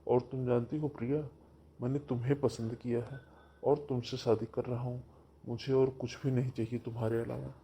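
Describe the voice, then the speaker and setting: A man voicing an earnest, loyal young groom who speaks softly and reassuringly in a husky voice. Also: medium pitch, flat delivery, normal speed, slight background noise, natural voice. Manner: soft, reassuring.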